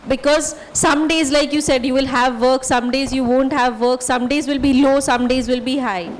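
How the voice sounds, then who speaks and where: A woman panelist gives a student reassuring advice. Her voice is high and steady. The room adds a long reverb. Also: normal speed.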